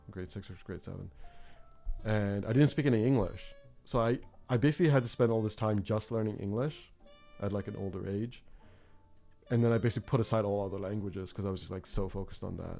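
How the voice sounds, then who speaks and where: Low-voiced man chatting while he draws. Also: vocal fry, mellow. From Norway. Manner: rambling, reflective, many fillers and restarts. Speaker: art streamer. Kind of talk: casual chat while drawing on a livestream.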